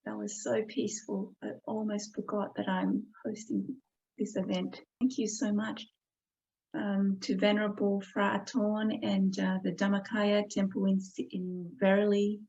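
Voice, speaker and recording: A woman's voice: mid-range voice, little pitch movement, a little slow, creaky, darkish tone, slightly rough. A woman hosting an online event with polite, gracious thanks, speaking over a video call. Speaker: MC and host of an online Buddhist event. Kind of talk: warm hosting with live chat shout-outs. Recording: dull, lo-fi online stream audio.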